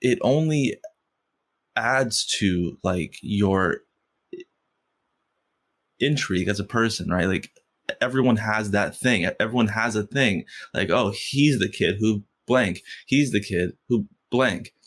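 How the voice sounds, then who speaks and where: A man's voice: lowish voice, slow pace, a bit bright. A man who stutters, a podcast guest speaking reflectively in a casual interview chat. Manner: stutters, with repeated sounds and fillers; reflective, with many "like" and "you know".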